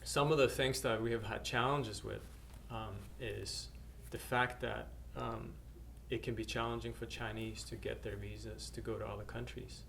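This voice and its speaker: A man's voice: mid-range voice, steady tone, moderate pace, gravelly, few pauses, a bit bright. Hesitant Norwegian-born missionary man. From Norway. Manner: hesitant, many uhs and restarts. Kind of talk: personal testimony to camera. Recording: faint background noise.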